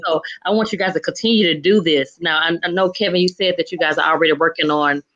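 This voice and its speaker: A woman's voice: low voice, quick pace, fairly bright tone, highs cut off. A woman interviewer chatting casually, with an encouraging, affirming way of talking. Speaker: interviewer, show host.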